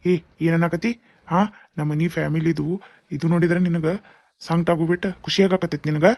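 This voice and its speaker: A woman's voice: sits low, little pitch movement, brisk, warm tone. A woman playing a concerned, earnest grown child in a family drama, speaking with a North Karnataka accent. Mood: stern.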